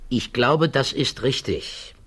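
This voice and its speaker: A male actor in a 1960s German radio drama playing a troubled, thoughtful physicist who testifies in a hesitant, measured way. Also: medium pitch, a bit bright, husky. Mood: pensive, troubled.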